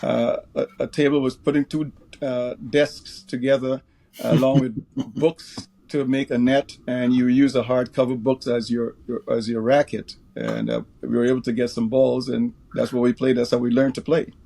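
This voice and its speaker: Older man from Guyana, sixties, interview guest; low gravelly warmth, unhurried, fills pauses. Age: 60s. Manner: unhurried, many uh fillers. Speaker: guest, pickleball referee director. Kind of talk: interview answers, recollecting.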